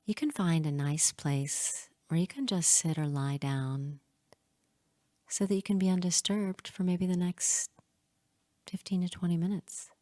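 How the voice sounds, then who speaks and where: A woman leading a guided hypnosis meditation in a soothing, hushed manner, leaving long pauses between short phrases. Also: lowish voice, no echo, studio quality.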